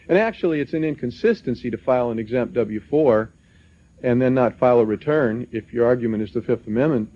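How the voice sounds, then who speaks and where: A man speaking as a seminar panelist, giving plain-spoken, cautionary advice in an emphatic way. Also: medium pitch. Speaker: legal researcher on a seminar panel. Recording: muffled lo-fi broadcast recording.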